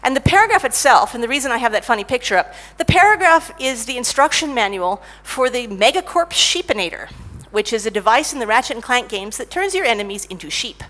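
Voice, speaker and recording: A middle-aged woman giving a conference talk about technical writing, lively and self-mocking as she tells stories. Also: fairly high pitch, fast, few pauses. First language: English. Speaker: conference speaker, technical writer.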